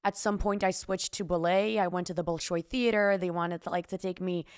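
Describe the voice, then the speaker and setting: A young woman from Israel talks as a guest, a singer, in a low-pitched voice. She rambles casually, often saying "like" and restarting her sentences. The recording is dry and close. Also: fairly even intonation, fairly quick, clean tone, no echo. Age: young adult. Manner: chatty, uses "like" a lot, restarts sentences. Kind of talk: casual interview chat.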